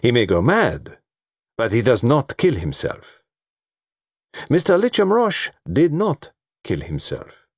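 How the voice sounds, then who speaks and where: French-accented detective, voiced by a man, fussy, precise, formal, lively lilting questions, dry close sound. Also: medium pitch, takes it slowly, pauses often, husky, no echo, voice pushed up, heavily acted. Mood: commanding. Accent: put-on French. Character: fussy, shrewd male foreign detective, middle-aged. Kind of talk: audiobook character voice.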